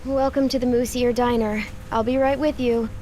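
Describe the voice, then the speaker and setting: A woman playing a listless, indifferent diner waitress in an animated game, with a flat manner. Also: fairly high pitch, moderate pace, a little hiss or hum, lightly acted.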